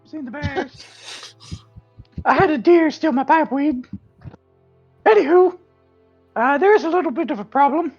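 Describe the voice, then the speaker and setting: A man voicing a cheerful pipe-smoking male halfling border guard in a high, lilting sing-song voice. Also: a little slow, pauses often, dull audio, faint music behind. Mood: chatty.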